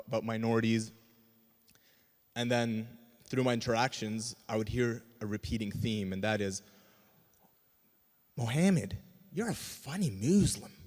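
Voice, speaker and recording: A man from Canada speaking conversationally as a panelist, introducing himself to a live event. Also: mid-range voice. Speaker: panelist, comedian. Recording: echoey hall with long reverb.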